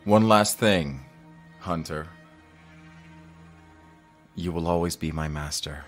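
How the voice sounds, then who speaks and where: A man's voice: low voice, slow pace, a bit bright, soft background music. A man playing a dutiful, heartbroken young lord and human hero, earnest and formal, in a fantasy audio drama. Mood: grave, loyal.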